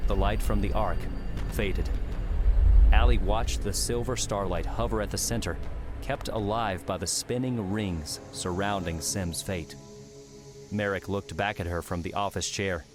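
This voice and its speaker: A man with a fairly low voice narrates a dramatic adventure audiobook. He reads scripted prose as a storyteller, with music playing clearly behind him.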